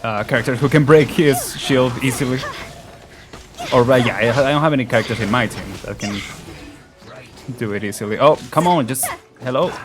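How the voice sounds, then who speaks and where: A man's voice: medium pitch, fairly quick, over music. A man hosting a gaming video, chatting casually and often chuckling between remarks as he plays. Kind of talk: casual let's play commentary.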